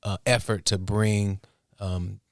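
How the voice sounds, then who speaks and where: A man from the United States with an American accent, talking casually and haltingly as an interview guest. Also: fairly low pitch, flat delivery, dry recording, clean studio sound. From Portland, Oregon, United States. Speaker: interview guest, music producer and inventor. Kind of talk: casual conversational storytelling.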